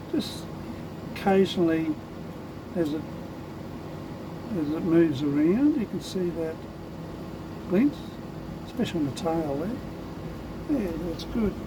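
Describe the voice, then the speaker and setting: A man with a croaky voice, talking through his lure painting in an unhurried, thinking-aloud way. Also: a little high, background noise. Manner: unhurried, thinking aloud while working, with many ums. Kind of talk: casual hands-on tutorial commentary.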